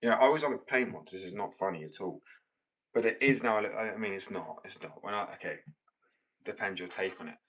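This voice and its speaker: British male streamer, lowish voice, rambling, self-interrupting, heard from a distance. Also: brisk, a bit bright. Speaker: livestreamer reading chat. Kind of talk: casual stream chat while working.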